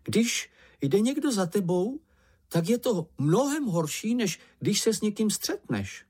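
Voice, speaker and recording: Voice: medium pitch, sing-song, darkish tone, breathy edge, pitched above the performer's own voice. A narrator voicing a small, timid male animal who frets and complains in a frightened, whiny manner. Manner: fretful, anxious, complaining.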